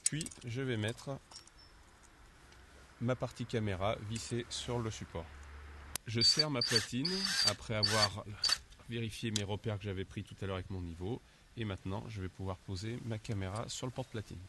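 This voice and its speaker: A man calmly narrating a home improvement job step by step, describing each action as he goes. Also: on the low side, moderate pace, noisy background. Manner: methodical, explains each step in first person. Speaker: DIY instructor.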